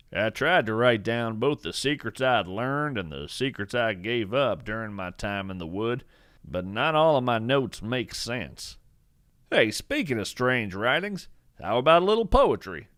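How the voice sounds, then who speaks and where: A man playing an eccentric, earnest naturalist who hosts a scripted fiction podcast, speaking in a measured, theatrical, thoughtful way. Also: medium pitch, fairly bright tone, no room sound, clean studio sound. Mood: curious, musing. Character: eccentric male naturalist host, musing, earnest, whimsical. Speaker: podcast host and narrator. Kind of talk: scripted fiction podcast narration with poetry readings.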